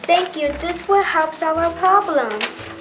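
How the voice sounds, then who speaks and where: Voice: very high voice, lively intonation, slow pace, heavily acted. An amateur performer with a youthful female voice playing an earnest storybook kingdom creature in reader's theater, reading carefully. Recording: music behind the voice. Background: clear background music.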